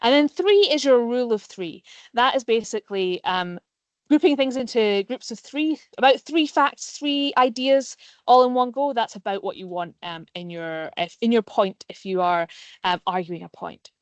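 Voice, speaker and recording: A woman's voice: fairly high pitch, normal speed, dry recording, dull audio. A woman giving a live online nature lesson to pupils, explaining in a friendly way with frequent ums. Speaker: nature educator. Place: plant nursery.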